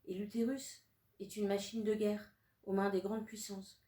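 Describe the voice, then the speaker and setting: A woman in her thirties, born in Russia and a native Russian speaker, reads her own poetic prose aloud. She speaks in a measured, deliberate way with long pauses, at an ordinary mid pitch. She is heard from some distance off the mic, in a room that echoes. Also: flat delivery, mellow. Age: 30s. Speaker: author. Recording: roomy sound from a distant mic.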